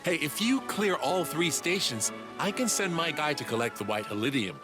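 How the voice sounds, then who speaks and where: Guy doing nerdy excitable young male inventor in a game, smug, swoopy delivery, music behind. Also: a little high, normal speed, lightly acted. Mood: chatty. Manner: enthusiastic, condescending explainer. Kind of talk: video game cutscene dialogue. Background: background music.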